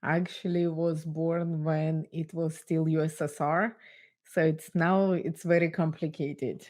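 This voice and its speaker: A woman from Ukraine with a Russian accent, whose first language is Russian, giving personal testimony as an interview guest in an earnest, measured way with pauses. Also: sits low, little pitch movement, a little mellow. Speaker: guest speaker.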